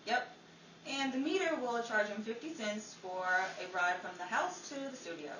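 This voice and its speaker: A man's voice: sits high, moderate pace, pushed and bright, distant mic. A man playing an easygoing, helpful friend in an old-time radio comedy set in 1950s Hollywood. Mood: amiable, wry. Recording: echoey, noisy, off-mic with crowd sound.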